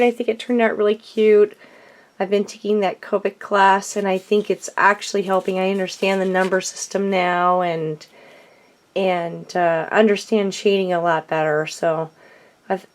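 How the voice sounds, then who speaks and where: Woman vlogger, hoarse from a cold, unhurried and chatty, mid pitch. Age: adult. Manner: chatty, with frequent ums and self-corrections. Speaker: card-making vlogger. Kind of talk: casual craft show-and-tell.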